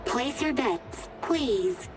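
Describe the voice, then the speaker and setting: Game role: polite croupier, highish singsong calls, extremely unhurried. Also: female voice, lively intonation, over music. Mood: formal, detached. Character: polite, formal female casino croupier. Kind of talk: video game cutscene acting.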